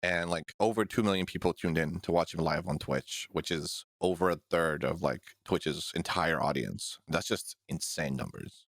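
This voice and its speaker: A man with a deep, low voice chats casually as a podcast co-host. His talk is profane and full of jokes, and he laughs often. The sound is close and dry, with no room echo. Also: normal speed, few pauses, a bit bright. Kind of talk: casual chat.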